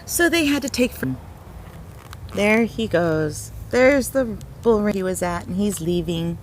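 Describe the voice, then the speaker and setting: Animated woman reading a picture book to children. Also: mid-range voice, unhurried, a little hiss or hum. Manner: expressive, simple explanations and exclamations, as to young children. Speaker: storyteller hosting a children's storytime.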